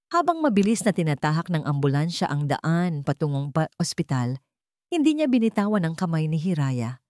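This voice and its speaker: A Filipino woman tells a scripted drama story. Her voice sits low for a woman, and the recording is dry and close, with no room around it. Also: a little mellow, dry recording.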